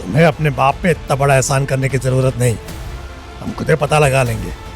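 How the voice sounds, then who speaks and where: Man playing gruff, hot-tempered small-town father. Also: mid-range voice, fairly even intonation, a little fast, lightly acted. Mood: angry. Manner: gruff, threatening, rustic speech. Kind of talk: Hindi audio story drama. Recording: background music under the voice.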